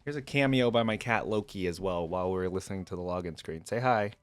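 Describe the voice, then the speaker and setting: A man's voice: sits low, quick pace, smooth, dry recording, faint music behind. A man hosting a reaction video, giving casual, informal and exclamatory commentary.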